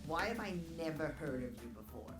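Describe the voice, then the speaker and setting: A woman's voice: deep voice, sing-song, brisk. A woman voicing a brash, mocking young teenage boy ninja in an anime parody. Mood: dismissive. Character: cheeky, dismissive thirteen-year-old boy ninja. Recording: echoey, music behind the voice.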